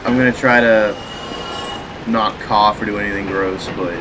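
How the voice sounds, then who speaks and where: A man's voice: lowish voice, normal speed. A man with a stuffy cold voice giving chatty, rambling commentary while he plays a video game. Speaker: let's play host. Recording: steady background noise.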